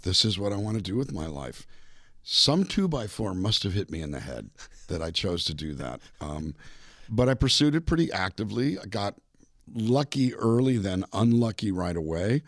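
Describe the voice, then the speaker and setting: A man in his seventies from a California border town, a bilingual singer-songwriter guest in a casual interview, telling relaxed stories and acting out the voices he quotes. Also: low voice, no echo, clean studio sound. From California, US (Mexican border town). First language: bilingual English and Spanish. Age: 70s.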